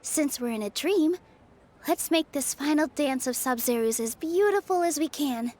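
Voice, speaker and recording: Gentle, high-voiced young dancer. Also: female voice, lively intonation, normal speed. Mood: graceful resolve. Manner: gentle, earnest storytelling. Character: gentle young woman dancer, earnest and devout. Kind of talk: video game character dialogue. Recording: music behind the voice.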